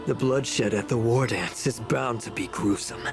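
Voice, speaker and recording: An actor playing a scheming, arrogant elder official who speaks in a pompous, formal, measured way, like a villain in a story game. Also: male voice, medium pitch, creaky, over music. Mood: smug.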